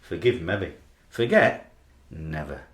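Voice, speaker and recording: A man's voice: deep voice, lively intonation, slow. A man playing an earnest, obsessive rugby fan in a radio play, dramatic and aggrieved. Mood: praying. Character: earnest, obsessive male rugby league fan, trainee social worker. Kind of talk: radio play monologue and dialogue. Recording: roomy amateur recording, faint background noise.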